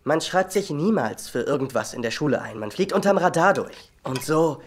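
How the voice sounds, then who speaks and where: A man's voice: a little high, expressive, normal speed, slight background noise, natural voice. A young male performer playing a cynical, scornful teenage older brother in a German audio drama, a slacker know-it-all.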